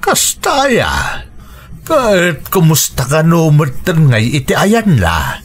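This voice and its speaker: Wistful father, lively pitch swings. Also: slow pace, husky, exaggerated voice. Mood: tender, wistful. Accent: northern Philippine. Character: affectionate ageing father, homebound and wistful. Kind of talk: Ilocano radio drama acting.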